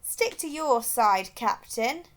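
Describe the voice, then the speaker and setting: A bold female penguin explorer performed by a woman reading aloud. The pitch is high and very lively, and the manner is adventurous and encouraging, as in a picture-book tale. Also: takes it slowly. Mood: cheerful. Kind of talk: children's storybook read-aloud.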